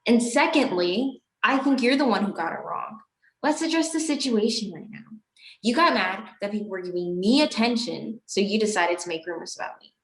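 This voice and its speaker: A woman's voice: mid-range voice, lively intonation, moderate pace, close to an everyday voice. A young woman acting an earnest, exasperated college student in a student stage play. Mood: firm, confronting. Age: young adult. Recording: echoey stage room.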